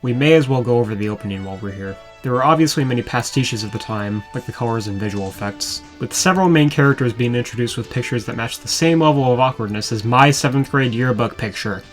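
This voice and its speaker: Man, mid-pitched voice, sarcastic video reviewer, scripted commentary, faint music behind. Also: quick pace, hardly any pauses. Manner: sarcastic, wry. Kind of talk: scripted commentary over show clips.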